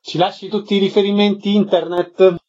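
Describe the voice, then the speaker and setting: A man's voice: sits high, level tone, a little fast, darkish tone, lo-fi sound. A man hosting a radio arts programme, putting questions to guests and reading out announcements. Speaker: radio interviewer and host.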